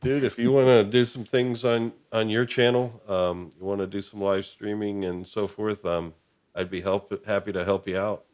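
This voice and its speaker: Low-voiced man hosting a casual stream. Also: fairly even intonation, normal speed, darkish tone. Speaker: live stream host. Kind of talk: casual chat, wrapping up a live stream.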